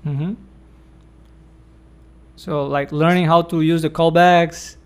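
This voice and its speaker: A man with a slightly raised pitch hosts a relaxed academic conversation. He explains things at length and thinks aloud with frequent fillers, in the manner of a supervisor guiding students. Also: slow, clean tone.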